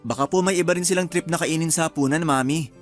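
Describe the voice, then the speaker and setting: A man's voice: a little high, quick pace, faint music behind, natural voice. A man playing an easygoing, reassuring young husband in a radio drama. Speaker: husband character.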